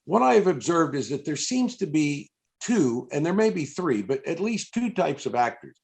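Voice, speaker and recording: Man interviewing, fairly high voice, halting delivery. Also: big pitch swings, creaky, darkish tone. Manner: halting, rambling, thinking aloud. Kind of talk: conversational interview, long musing questions. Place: video call. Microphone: video call microphone.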